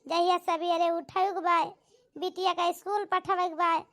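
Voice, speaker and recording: Woman voicing very high, bright doting village mother. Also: flat delivery, moderate pace. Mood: tender. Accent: Awadhi. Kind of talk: homemade cartoon comedy-drama.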